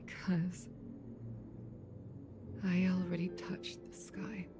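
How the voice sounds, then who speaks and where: Game character: a calm former pilot mother, measured and unhurried, with game music underneath. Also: lowish voice. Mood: quiet resolve. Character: composed older mother, retired sky pilot.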